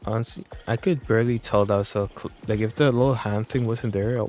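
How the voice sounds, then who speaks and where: A man streaming a game and chatting casually in a rambling, halting way that repeats words. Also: on the low side, fairly even intonation, a little mellow. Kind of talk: casual livestream chat. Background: game music and voices.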